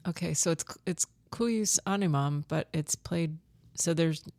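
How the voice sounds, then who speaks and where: A woman co-host with a slightly low voice and plenty of vocal fry, recorded dry. She chats informally and often chimes in with short interjections. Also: a little slow, a little mellow, no room sound. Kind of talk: casual chat.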